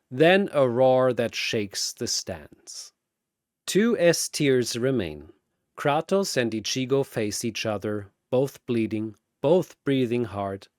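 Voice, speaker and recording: A man narrating a scripted battle story in a theatrical way, pausing for emphasis. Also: mid-range voice, clear, resonant tone, no room sound, studio quality.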